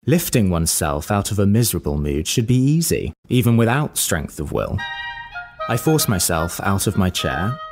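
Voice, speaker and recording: A low male voice delivers a brooding literary monologue as if lecturing himself. The delivery is theatrical and deliberate, with very lively intonation. Music plays behind the voice. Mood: wry, strained. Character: brooding, self-lecturing narrator of a bleak monologue. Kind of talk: dramatic literary narration in an audio drama.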